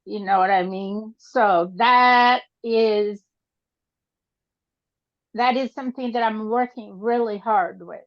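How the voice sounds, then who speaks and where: Brazilian-born older woman on a video call, slow and halting, gravelly, dull lo-fi sound. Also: medium pitch, no room sound. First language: Portuguese. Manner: halting, hesitant, many fillers. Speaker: group participant. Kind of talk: casual sharing in an online group.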